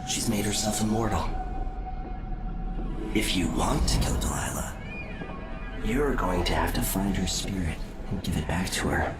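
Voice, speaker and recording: Echoing, brooding god of the void. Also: male voice, deep voice. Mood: ominous, grave. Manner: measured, solemn, cryptic delivery. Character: ageless male void god, once sacrificed, brooding and cryptic. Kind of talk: video game dramatic acting. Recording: echoey, loud music underneath.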